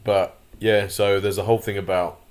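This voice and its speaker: Vlog host, male, deep and croaky, rambly and opinionated. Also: flat delivery, moderate pace, darkish tone. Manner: rambling, many fillers and restarts; opinionated, grumbling asides. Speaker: channel host. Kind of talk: casual commentary vlog.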